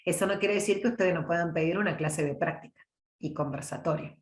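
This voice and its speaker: A middle-aged woman with a mild Córdoba accent teaches a public speaking class in a relaxed, chatty way. Her voice sits fairly low. It comes over a video call with noticeable room echo. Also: brisk. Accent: mild Córdoba Argentine. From Córdoba, Argentina. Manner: chatty, warm, answers chat questions by name. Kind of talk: online class, casual lecture.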